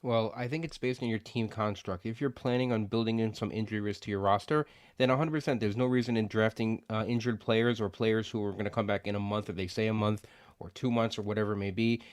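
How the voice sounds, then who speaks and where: A man's voice: fairly low pitch, fairly even intonation, fast, smooth, no echo, clean studio sound. Easygoing man co-hosting a podcast. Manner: loose, hedging, repeats words. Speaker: podcast co-host. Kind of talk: casual podcast talk.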